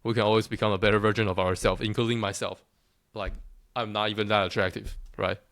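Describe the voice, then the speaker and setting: Low-voiced Taiwanese man, casual and self-assured. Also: flat delivery, fast, a bit bright, no room sound, studio quality. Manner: self-assured, joking, with frequent restarts. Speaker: looksmaxxing content creator. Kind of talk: casual monologue to followers.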